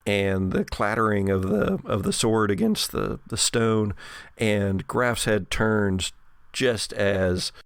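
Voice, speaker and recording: A man's voice: fairly low pitch, lively intonation, takes it slowly, clear, resonant tone, no echo. A man running a horror tabletop game, improvising vivid narration with conversational asides. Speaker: game master.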